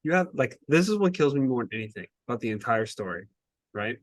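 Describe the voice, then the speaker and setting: A man with an average-pitched voice chats as a podcast co-host. He rants with exasperation and swears casually. The sound is dry, with very little room on it. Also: very animated, fast, no room sound. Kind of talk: casual book review chat.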